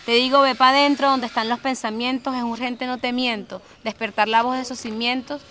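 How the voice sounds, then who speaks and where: A woman reciting rhythmic spoken word, with short pauses like austere rap. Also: on the high side, flat delivery, sharp tone, slight background noise.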